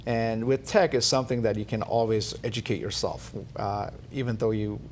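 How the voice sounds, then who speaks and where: A man born in Iran, a company founder answering interview questions in a measured way with many fillers. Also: lowish voice, steady tone, darkish tone, a little hiss or hum. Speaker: tech company CEO, guest.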